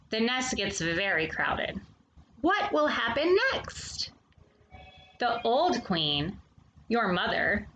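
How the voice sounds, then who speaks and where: Female storytime host, higher-pitched and animated, speaking straight to young kids, some background noise. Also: low-quality recording. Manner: addresses young listeners directly, with questions and pauses. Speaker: host of a children's storytime, reading a picture book aloud. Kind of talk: read-aloud children's nonfiction story with brief asides.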